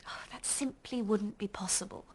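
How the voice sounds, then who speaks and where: An actress in a radio drama playing a candid, earnest young woman who speaks a little haltingly. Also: mid-range voice, a little hiss or hum, close to an everyday voice. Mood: embarrassed, earnest. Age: young adult.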